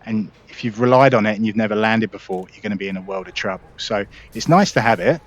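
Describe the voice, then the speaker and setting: Man, gaming video host, fairly low voice, chatty walkthrough, explanatory, lots of fillers. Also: fast. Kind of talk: casual gameplay walkthrough.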